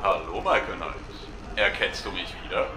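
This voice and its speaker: A man playing a menacing male artificial intelligence of a truck in a radio drama, speaking slowly and formally. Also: low voice, expressive, very noisy. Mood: cold, vengeful. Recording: echoey, music underneath.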